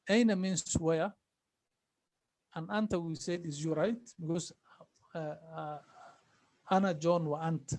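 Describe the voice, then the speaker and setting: High-voiced man teaching Arabic. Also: darkish tone. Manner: patient, repeats phrases, asks students questions. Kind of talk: online class teaching.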